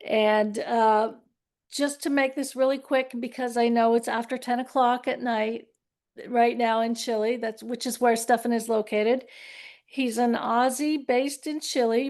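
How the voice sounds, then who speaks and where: A woman's voice: a little high, darkish tone. Enthusiastic woman introducing a speaker. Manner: enthusiastic, admiring. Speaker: board member introducing the speaker. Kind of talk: event introduction.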